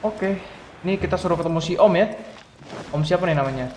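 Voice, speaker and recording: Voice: mid-range voice, rapid-fire. An Indonesian game streamer with an Indonesian accent, giving casual, slangy, teasing gameplay commentary to viewers. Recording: roomy sound, game music behind. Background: video game music.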